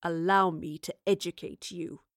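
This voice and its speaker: Woman playing a smug, deep-voiced male villain. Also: fairly even intonation, unhurried, creaky, no room sound, studio quality. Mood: smug, sinister. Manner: sarcastic, condescending, drawling. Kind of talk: audio drama villain acting.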